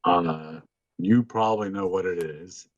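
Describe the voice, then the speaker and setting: Guy with a deep low voice, podcast co-host just shootin the shit, sweary, kinda dull lo-fi audio. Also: a little slow, no room sound. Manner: informal, swearing freely. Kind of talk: casual chat, storytelling.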